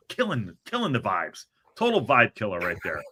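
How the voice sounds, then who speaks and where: Excitable man hosting a football podcast. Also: fairly low pitch, a little slow, slightly rough. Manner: animated, rambling, restarts a lot. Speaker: podcast host. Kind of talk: casual chat.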